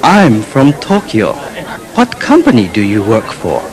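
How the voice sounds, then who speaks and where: An actor playing a friendly sales manager in a scripted textbook dialogue, speaking clearly. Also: male voice, fairly low pitch, huge pitch swings, unhurried, a crowd in the background. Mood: polite.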